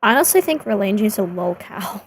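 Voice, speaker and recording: A woman's voice: mid-range voice, lively intonation, fairly quick, gravelly, a little hiss or hum. A young woman from Japan whose first language is Japanese, chatting bluntly and swearing freely in a casual conversation. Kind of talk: casual chat.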